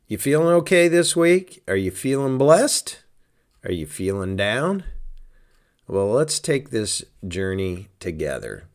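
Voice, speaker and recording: A man with a raspy, hoarse voice from a sinus cold, hosting a casual church podcast in an easygoing, chatty way. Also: on the low side. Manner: easygoing, chatty, with many fillers. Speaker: podcast host.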